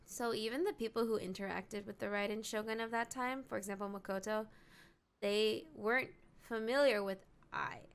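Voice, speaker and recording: A woman's voice: medium pitch, steady tone, normal speed, gravelly, clean tone. A woman streaming a story game, giving casual, reflective reaction commentary as she thinks aloud.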